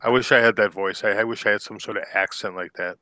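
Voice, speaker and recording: Bright-voiced man chatting on a call. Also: on the low side, steady tone, fast, dry recording, studio quality. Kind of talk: casual group chat.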